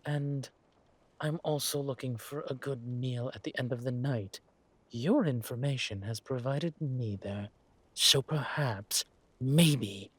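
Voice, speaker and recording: A man playing a menacing, sadistic king who speaks with silky politeness and a deliberate, theatrical, taunting delivery in a dramatic roleplay. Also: medium pitch, a bit bright, slightly rough, no echo. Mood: irritated threat. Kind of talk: dramatic villain roleplay audio.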